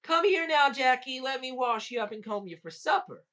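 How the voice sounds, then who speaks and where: Man acting a wheedling old mountain giantess, raised pitch. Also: lively intonation, moderate pace, sharp tone, full character voice. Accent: Appalachian American. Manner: wheedling, cajoling. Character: coaxing, falsely motherly old giantess.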